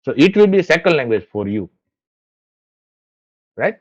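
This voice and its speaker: A man's voice: a little high, very animated, unhurried, a little mellow, no echo. A man with an Indian Gujarati accent, giving a classroom lecture and often checking that the listeners follow. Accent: Indian English, Gujarati. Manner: checks understanding with repeated questions. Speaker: teacher educator. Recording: dull lo-fi, dry sound.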